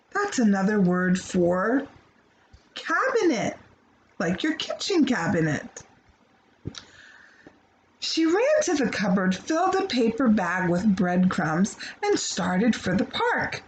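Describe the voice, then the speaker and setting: Female children's read-aloud host, very lively intonation, unhurried, speaks directly to young listeners. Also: mid-range voice, slight background noise, rough recording. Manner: expressive, playful, addressing children directly. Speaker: children's storybook reader. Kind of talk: animated picture-book read-aloud with asides to young listeners.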